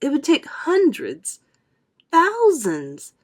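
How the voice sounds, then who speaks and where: A woman's voice: sits high, huge pitch swings, extremely slow, pitched above the performer's own voice, full character voice. Woman acting an old, sceptical grasshopper. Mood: incredulous.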